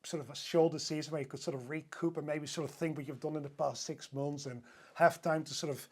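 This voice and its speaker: A man's voice: on the high side, fairly even intonation, quick pace, a little mellow. A man with a Dutch accent, chatting eagerly as a podcast guest with lots of fillers and restarts. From Netherlands. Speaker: hotel sales director, podcast guest. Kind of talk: casual interview chat.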